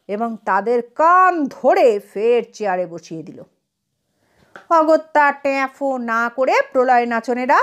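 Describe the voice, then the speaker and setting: A woman reads a comic story aloud with a high, very animated voice. Her delivery is scripted yet expressive, with a dramatic storyteller's way of shaping each phrase. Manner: dramatic storytelling, acts out characters' lines. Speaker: audio story narrator.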